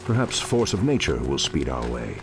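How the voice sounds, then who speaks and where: A man playing a grave, wise ancient elven druid leader with a measured, formal delivery in a video game. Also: extremely low pitch, big pitch swings, over music, exaggerated voice. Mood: calm resolve. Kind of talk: dramatic video game cutscene acting.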